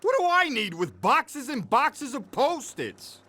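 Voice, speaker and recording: Animated, high-pitched proud bee dad. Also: male voice, slow, slight background noise, heavily acted. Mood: indignant. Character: proud, indignant middle-aged bee father. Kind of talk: cartoon game voice acting.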